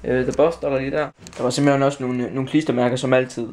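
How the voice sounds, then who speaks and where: A man's voice: mid-range voice, level tone. A teenage boy from Denmark vlogging casually to a handheld camera, rambling with lots of fillers and restarts. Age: teens. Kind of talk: casual vlog chat. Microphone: handheld camera at arm's length. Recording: loud background noise.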